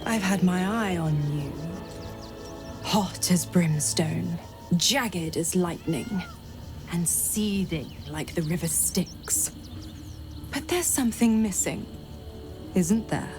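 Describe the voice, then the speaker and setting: An actress voicing a seductive, taunting fiend in a video game, theatrical and often slipping into breathy whispers. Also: female voice, on the low side, expressive, slow, long pauses, music in the background. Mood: seductive menace. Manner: sultry, breathy whispering, teasing. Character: seductive, taunting female fiend offering forbidden pleasures.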